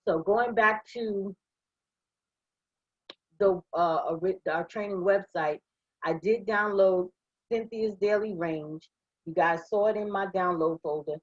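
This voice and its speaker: A hoarse woman leading a live online class, walking viewers through software step by step in an upbeat way and checking in often. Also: medium pitch, measured pace, pauses often, dry recording, highs cut off. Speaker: online trading trainer. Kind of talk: live screen-share software walkthrough.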